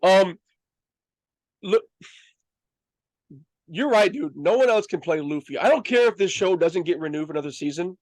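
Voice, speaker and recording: A man's voice: sits high, expressive, moderate pace, long pauses, no room sound, rough recording. A man hosting a casual fan review chat, speaking in an emphatic, slangy and enthusiastic way. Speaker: host of a review show.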